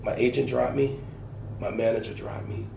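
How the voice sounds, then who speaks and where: A man's voice: mid-range voice, steady tone, normal speed, vocal fry. A man speaking as a podcast guest, telling his personal story candidly and repeating phrases for emphasis. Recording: echoey room with background noise.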